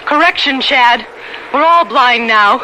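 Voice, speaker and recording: A woman's voice: sits high, expressive, measured pace, pushed and bright, a little hiss or hum, heavily acted, low-quality recording. A woman playing a wry, capable aunt who narrates a radio adventure drama. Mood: wry, firm. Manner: wry, authoritative.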